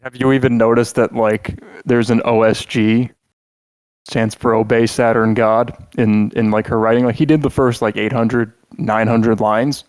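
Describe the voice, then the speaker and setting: A man's voice: mid-range voice, level tone, moderate pace, darkish tone, smooth, no echo. Rambling, joking podcast co-host, a man. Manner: rambling, joking, full of "like" and restarts. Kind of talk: casual chat.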